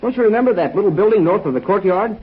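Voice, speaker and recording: An actor playing an eager, excitable teenage boy sidekick in a 1940s radio serial. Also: high voice, very fast, husky. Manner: eager, breathless exclamations. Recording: dull 1940s radio transcription.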